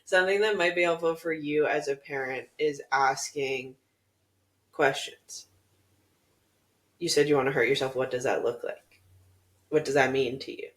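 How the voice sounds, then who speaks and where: A man co-hosting a podcast, speaking in a calm, measured, practical way. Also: a little high. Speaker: podcast co-host. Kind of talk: candid podcast discussion.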